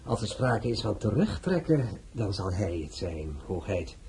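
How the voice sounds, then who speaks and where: A man playing a scheming, ingratiating police minister in a radio play, with a smooth, mockingly polite manner. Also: lowish voice, sing-song, a little slow, mellow, a little hiss or hum. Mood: sly. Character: sly, scheming male police minister, middle-aged.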